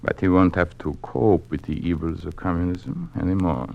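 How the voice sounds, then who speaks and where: Embittered, defiant male dissident, low and gravelly, solemn delivery, vintage radio drama. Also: animated, moderate pace, lo-fi sound. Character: embittered, defiant male scholar, weary of hiding. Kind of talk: 1950s radio drama acting. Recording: faintly noisy vintage radio recording.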